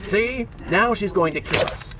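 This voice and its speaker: An actor voicing the sardonic, mocking and self-serving dark inner voice of a prince in a video game. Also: male voice, high-pitched, a little slow, heavy background noise. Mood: sardonic, taunting. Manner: sneering, sarcastic. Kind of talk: video game character acting.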